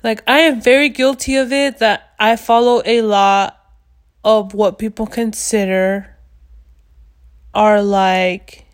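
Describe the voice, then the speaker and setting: A woman in her twenties from the United States, hosting a podcast in loud, blunt casual chat and swearing freely. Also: mid-range voice, fairly even intonation, slow pace. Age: 20s. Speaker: podcast host.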